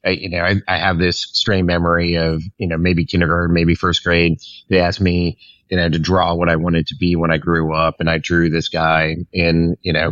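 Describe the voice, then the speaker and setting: A man from the Philippines speaking as a pastor and interview guest, telling his story in a halting, informal, conversational way. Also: sits low, a bit bright, no echo, lo-fi sound. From raised in the Philippines.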